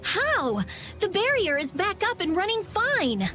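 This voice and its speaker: A woman's voice: extremely high pitch, big pitch swings, unhurried, pushed and bright, full character voice. A prickly teen genius girl. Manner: curt, snappish. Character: sharp-tongued teenage girl genius, prickly. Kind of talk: video game voice acting. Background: game music.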